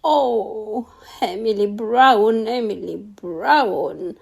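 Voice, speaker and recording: A woman voicing a whiny, needy male monster from a children's storybook, wailing and complaining in a drawn-out way. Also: medium pitch, vocal fry, a little mellow, slightly rough, exaggerated voice. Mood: tearful, wailing.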